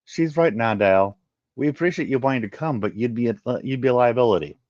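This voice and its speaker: A man voicing a brisk, decisive female field agent in a sci-fi story. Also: mid-range voice, expressive, darkish tone, natural voice. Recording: muffled, roomy home recording.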